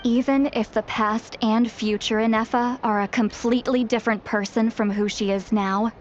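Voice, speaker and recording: An actress voicing a wry, theatrical granny healer who plays the stern villain in a video game. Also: female voice, on the high side, fairly even intonation, moderate pace, slight background noise, natural voice. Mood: probing. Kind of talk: dramatic video game dialogue. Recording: muffled game stream with music.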